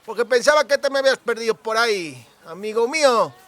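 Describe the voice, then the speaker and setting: Audiobook role: boisterous drunk country lad, very high strained voice, bright and forceful, rustic speech. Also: male voice, lively intonation, fairly quick. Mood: boisterous, jolly. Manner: exclamatory, colloquial rustic speech with clipped words. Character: drunken, boisterous young cooper, rustic and friendly.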